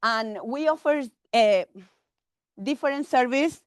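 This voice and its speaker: Brief: middle-aged Chilean woman, Spanish accent, halting English, somewhat high voice, dry sound. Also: smooth, no echo. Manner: halting broken English, chatty, laughs often. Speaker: vendor presenting her business. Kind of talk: informal pitch to a meeting.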